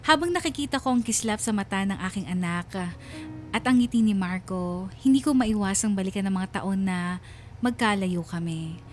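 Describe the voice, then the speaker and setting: A woman's voice: medium pitch, moderate pace, soft background music, natural voice. An actress playing a wistful wife and mother, narrating her story in a reflective, sentimental radio drama style. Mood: tender, wistful. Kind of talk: scripted first-person radio drama narration.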